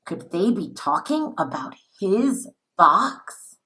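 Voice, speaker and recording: A woman reading a children's picture book aloud like a teacher, in a dramatic, deliberate, expressive way. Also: mid-range voice. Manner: dramatic, deliberate, with acted-out dialogue.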